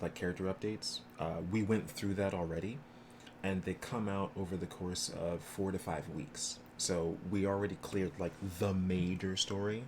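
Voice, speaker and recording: A man with a low voice talks casually to the viewers of his game stream. He sounds like he is explaining things off the cuff, with clear game noise behind him. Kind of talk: casual livestream chat with viewers.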